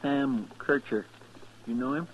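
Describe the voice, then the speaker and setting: An actor playing a folksy, anxious, loyal sidekick with a rural American accent in an old radio Western, plain-spoken and deferential. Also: male voice, medium pitch, unhurried, breathy edge, muffled recording. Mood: uneasy, curious. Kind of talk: 1950s Western radio drama acting.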